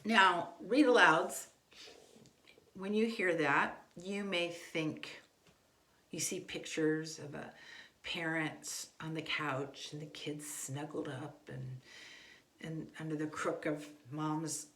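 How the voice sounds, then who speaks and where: Female homeschool instructor, middle-aged, slightly low register, informal and conversational, echoey room recording. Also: steady tone, takes it slowly, a bit bright. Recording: echoey, roomy sound.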